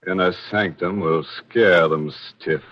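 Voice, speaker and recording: A man's voice: low voice, steady tone, takes it slowly. A man hosting and narrating an old radio mystery show in a theatrical, tongue-in-cheek macabre manner. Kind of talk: 1940s radio horror hosting, scripted narration. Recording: dull lo-fi 1940s radio recording. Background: music.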